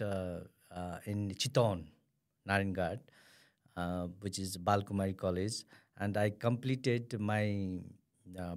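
A Nepali man with a Nepali accent, a non-native English speaker answering interview questions hesitantly with many fillers. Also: lowish voice. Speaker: guest, returned migrant worker.

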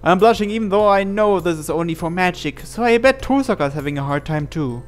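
The kind of higher male voice you would hear on a gaming channel, reading a story game aloud over music. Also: animated, brisk. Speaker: reader narrating a visual novel playthrough.

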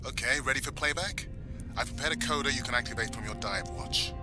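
An actor playing a calm, businesslike ocean research technician in natural video game dialogue. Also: male voice, mid-range voice, a little fast, lightly acted. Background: music.

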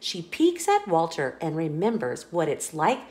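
A woman reading a children's picture book aloud in a playful, expressive storytelling voice, indoors. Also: sits low, measured pace. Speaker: storybook reader. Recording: roomy echo.

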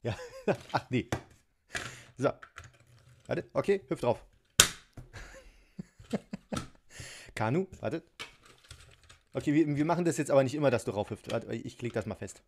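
A man talks his way through a toy build at a home table. He chats freely, giggles often and pokes fun at himself. His voice sits at an ordinary middle pitch. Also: animated, a little slow, gravelly, long pauses, background noise. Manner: chatty, giggly, self-mocking. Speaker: toy unboxing vlogger. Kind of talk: casual chat while building a toy set. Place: home tabletop filmed from above.

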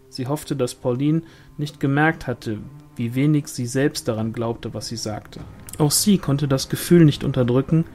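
A man's voice: mid-range voice, dark tone. A man reading literary narration from a novel as an audiobook. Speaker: audiobook reader. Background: music.

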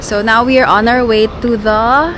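A young woman with a voice gone hoarse from screaming, chatting upbeat to a handheld camera while sightseeing. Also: a little high, steady tone, normal speed, background noise. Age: young adult. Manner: chatty, upbeat, frequent giggles. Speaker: vlogger. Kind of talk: casual travel vlog to camera. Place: city streets, restaurant and observation wheel cabin. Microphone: camera held at arm's length. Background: loud background music.

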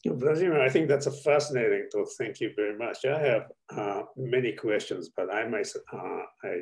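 A man in a seminar on a video call who asks long questions in a halting way, with many restarts and fillers. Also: medium pitch, creaky, darkish tone. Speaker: audience member asking questions.

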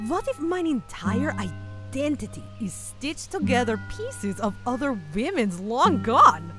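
A woman voicing a big, beefy cartoon lady who talks in a theatrical, teasing way with broken, foreign-style grammar. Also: a little high. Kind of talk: cartoon dub voice acting. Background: background music.

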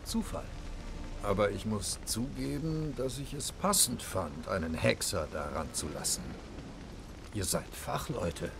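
Tiefer, spöttischer Adliger. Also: male voice, on the low side, expressive, a little slow, vocal fry, noisy background. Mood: sardonic. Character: jaded, sardonic immortal nobleman bandit, cruel and cool. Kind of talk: dramatic video game dialogue. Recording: rain and outdoor ambience behind.

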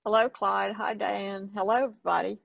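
A woman's voice: medium pitch, fairly even intonation, fairly quick, creaky, no room sound. A woman introducing herself informally as a podcast co-host.

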